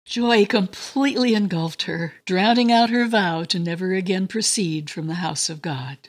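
A woman with a breathy, husky voice narrating a scripted story in a reverent, expressive manner. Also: fairly low pitch, normal speed. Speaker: show host and story narrator.

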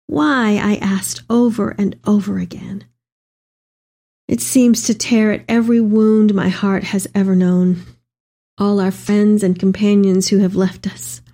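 A woman's voice: medium pitch, mellow. A woman playing an elderly, devout mother in a dramatic reading of letters to her son, with tender, heartfelt delivery. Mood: grieving, shaken. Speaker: dramatic reader. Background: music.

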